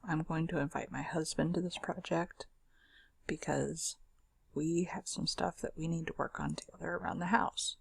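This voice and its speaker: Scratchy, gravelly woman demoing software. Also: low-pitched, steady tone, moderate pace, no echo. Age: adult. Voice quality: scratchy, husky voice. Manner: chatty and informal, with fillers and self-corrections. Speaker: screen-share software demonstrator. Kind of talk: casual screen recording walkthrough.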